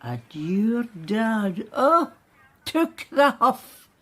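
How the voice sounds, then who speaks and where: Older Scottish aunt for radio drama: husky, lively swings in pitch, wistful and stubborn. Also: female voice, very slow, heavily acted. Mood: wistful, rueful.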